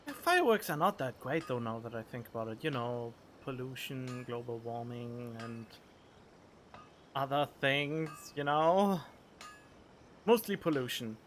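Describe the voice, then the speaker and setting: A man's voice: medium pitch, animated, a little slow, a little hiss or hum. A man giving casual playthrough commentary with dry, joking asides. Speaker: gaming streamer. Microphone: studio condenser microphone. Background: game music.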